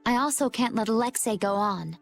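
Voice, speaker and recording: An actress playing a calm, poised young woman fighter in a video game, speaking without hurry. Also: on the high side, brisk, vocal fry, soft background music. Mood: quietly resolute.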